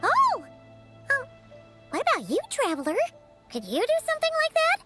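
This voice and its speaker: A woman voicing a cute, excitable little girl in a video game, with childlike exclamations. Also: very high-pitched, moderate pace, loud music behind the voice, heavily acted. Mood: eager.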